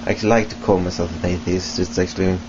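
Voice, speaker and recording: A young Swedish man with a Swedish accent talks slowly and haltingly into a close webcam, stopping and restarting his sentences. His voice is very deep and flat. The home recording is muffled and lo-fi, with a clear steady hiss. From Sweden. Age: young adult. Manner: hesitant, many ums and restarts. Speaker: vlogger. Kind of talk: casual self-introduction to webcam. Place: small room indoors at home. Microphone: webcam at close range. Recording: dull lo-fi webcam audio with hiss.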